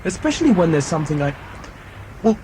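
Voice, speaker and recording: A man's voice: fairly high pitch, very animated, fairly quick, darkish tone, a little hiss or hum. An actor playing a frustrated, helpless young man in an audio drama, questioning and argumentative. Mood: exasperated. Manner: exasperated, questioning, emotionally volatile. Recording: amateur cassette drama, music underneath.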